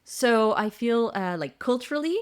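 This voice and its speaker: A middle-aged woman from the United States, raised between France and Colombia, speaking as a coach and podcast guest in a casual interview. She is upbeat and animated and laughs easily. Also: fairly high pitch, normal speed, smooth.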